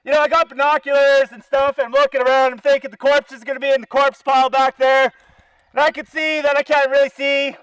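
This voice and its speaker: An indignant man with a Canadian accent rants outdoors through a bullhorn. His pitch is extremely high for a man, and the sound is dry, without echo. He is sarcastic, confrontational and freely profane, speaking as an activist calling someone out. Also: level tone, fast, a bit bright. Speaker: animal-welfare activist. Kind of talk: angry protest rant through a bullhorn. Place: outdoors by a zoo fence.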